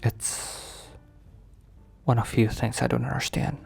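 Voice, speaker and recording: An actor playing a protective mafia boss boyfriend in an intimate ASMR roleplay, speaking slowly and hesitantly in a confiding, sometimes breathy way. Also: male voice, medium pitch, level tone, a little mellow, soft background music, heavily acted. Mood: tender, weary.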